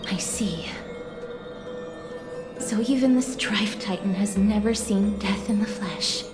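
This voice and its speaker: An actress playing a gentle, solemn young woman who guides the dying, speaking softly and politely in a video game drama. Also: medium pitch. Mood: quiet resolve. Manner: slow, measured, polite. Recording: echoey, over background music.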